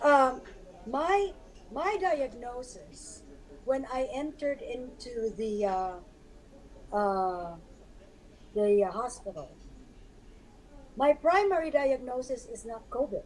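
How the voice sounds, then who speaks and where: A woman with a hoarse, scarred voice, earnestly explaining as a guest on a live stream. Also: high voice, expressive, slow pace, long pauses, a little mellow, poor audio.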